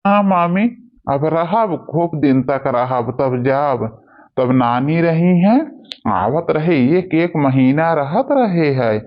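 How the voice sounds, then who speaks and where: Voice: mid-range voice, expressive, takes it slowly, lo-fi sound. An actor voicing a whiny, insistent young child with an Awadhi accent in a comedy cartoon. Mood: matter-of-fact. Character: whining young child nephew demanding milk from his aunt.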